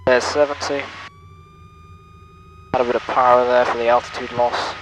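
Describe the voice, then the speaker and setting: A man's voice: mid-range voice, moderate pace, poor audio. A man piloting a small plane, talking through each manoeuvre in clipped, repetitive checklist phrases and making radio calls. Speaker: pilot and flying vlogger. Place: small plane cockpit in flight. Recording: loud engine noise in small aircraft. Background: loud steady aircraft engine and radio.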